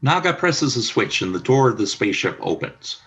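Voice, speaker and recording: A man reading the stage directions of a fan radio play in an even, descriptive way. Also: medium pitch, fairly quick, dull audio. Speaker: narrator.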